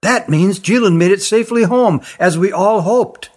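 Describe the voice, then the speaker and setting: Eager young heroine, read by a man, high, breathless exclamations in a storybook. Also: normal speed. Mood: excited.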